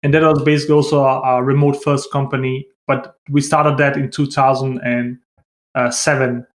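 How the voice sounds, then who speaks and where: A man with a mid-pitched voice talks in a roomy, echoing space. He is an interview guest, speaking informally and hesitantly in a fairly flat tone, with plenty of filler words. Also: normal speed. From born in Bosnia, grew up in Denmark. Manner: informal, hesitant, frequent "like" and "you know". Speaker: interview guest, tech company founder. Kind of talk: casual podcast interview.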